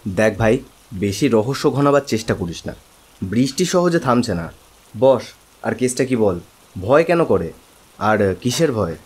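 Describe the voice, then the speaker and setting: Impatient buddy for animated drama, played by a man: husky, lively, mid-pitched, needling banter. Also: unhurried, pauses often. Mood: teasing, curious. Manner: bantering, prodding. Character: teasing, impatient male friend. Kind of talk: dramatized animated horror story. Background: rain.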